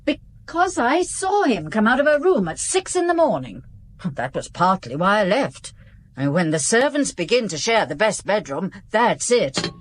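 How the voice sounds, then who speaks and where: Wary, dry-tongued witness. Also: female voice, medium pitch, very animated, moderate pace. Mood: guarded. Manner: wary, dry, evasive then blunt. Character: guarded, sharp-tongued woman, former servant witness. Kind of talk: 1950s-set radio drama acting.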